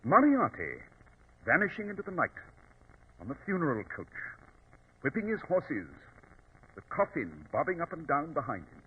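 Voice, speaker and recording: Radio-drama sleuth: assured, theatrical, explanatory, slightly high husky voice on a thin old recording. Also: male voice, huge pitch swings, normal speed, pauses often, phone-quality sound, full character voice. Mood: wry, reflective. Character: keen, self-assured male detective, incisive and dryly superior. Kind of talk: 1940s radio acting.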